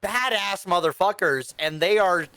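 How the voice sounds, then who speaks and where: A woman's voice: on the low side, unhurried, a bit bright, no room sound. A woman co-hosting a casual, opinionated review chat, talking bluntly in slang with plenty of swearing. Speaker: podcast co-host reviewing animated films.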